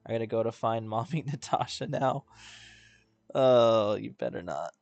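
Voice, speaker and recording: A man's voice: medium pitch, normal speed, vocal fry, darkish tone, dry recording, highs cut off. A man streaming a video game, chatting casually and reading dialogue aloud with mumbly asides and stumbles. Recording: background game music.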